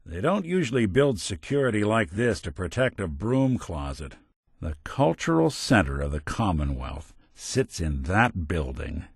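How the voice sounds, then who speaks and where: World-weary male android private eye, video game. Deep, expressive, sardonic noir delivery, close dry sound. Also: a little slow, creaky, slightly rough, no room sound, exaggerated voice. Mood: wry, sardonic. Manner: wry, dry, sardonic, old-time tough-guy phrasing. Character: world-weary wisecracking male synth robot detective, middle-aged. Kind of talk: scripted game companion dialogue, noir detective.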